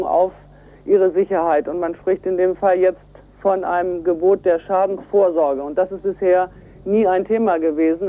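A woman being interviewed on the radio over a telephone line as an environmental activist, explaining step by step in an earnest, emphatic manner. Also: mid-range voice. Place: on a telephone call to a radio show.

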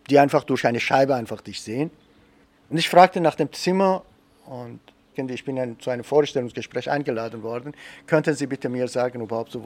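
A man recounting a personal experience in an interview, halting and repeating phrases as he goes. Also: mid-range voice. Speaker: education expert and trainer.

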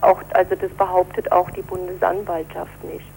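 Measured woman lawyer over noisy hum. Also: on the low side, flat delivery, gravelly, a little mellow. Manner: measured, explanatory, formal legal wording. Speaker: defence lawyer being interviewed. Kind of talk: spoken interview answers.

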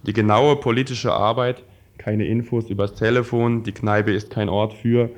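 Low guy reading out a written statement for radio. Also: darkish tone. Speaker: radio presenter reading quoted texts. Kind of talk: scripted reading of political papers.

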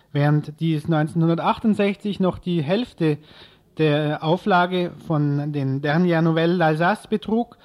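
A male radio reporter with a fairly high-pitched voice reads a prepared news report. The delivery is scripted and informational, built on full written sentences.

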